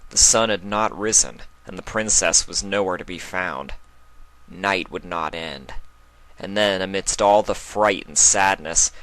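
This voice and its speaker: A man's voice: low-pitched, a bit bright, poor audio. A man narrating a scripted story in a dramatic reading style. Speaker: narrator, fan fiction reader.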